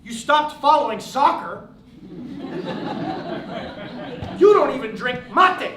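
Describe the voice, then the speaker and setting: A man's voice: very high-pitched, expressive, drawn-out words, recorded from a distance, heavily acted. A man playing a wry, needling brother. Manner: dry, needling banter. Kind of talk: stage play acting. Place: theatre stage. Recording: roomy stage recording from afar with noise.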